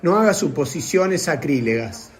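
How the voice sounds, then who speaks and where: A man's voice: on the high side, animated, moderate pace, close to an everyday voice. A man playing an earnest young disciple. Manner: earnest, firm replies.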